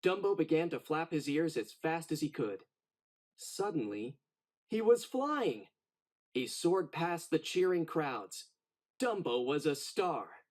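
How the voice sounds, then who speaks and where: A man reads a children's story aloud with theatrical expression. His voice sits fairly high and swings widely in pitch, with a slightly husky, breathy edge. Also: takes it slowly. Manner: animated, dramatic storytelling. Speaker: storybook narrator.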